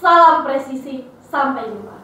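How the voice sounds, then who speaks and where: Very high-voiced woman signing off news. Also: expressive, moderate pace, sharp tone. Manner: formal newsreader sign-off. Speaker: police public relations officer. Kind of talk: news sign-off practice. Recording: distant echoey lo-fi room.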